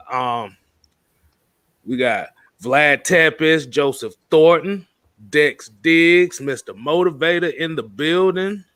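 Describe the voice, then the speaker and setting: A man's voice: on the high side, fairly bright tone, no echo, clean studio sound. A man co-hosting a casual live sports talk stream, joking and reading out viewer names in a loose way. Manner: loose, joking, laughs a lot. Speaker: co-host of a sports talk show.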